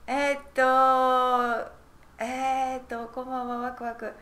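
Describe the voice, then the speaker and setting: A woman's voice: sits high, completely flat delivery, normal speed, fairly bright tone, echoey room. A bubbly woman from Japan with a Japanese accent, a native Japanese speaker teaching a language lesson on a livestream and chatting with viewers. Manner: bubbly, giggly, switches between languages. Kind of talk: live-streamed lesson with chat greetings.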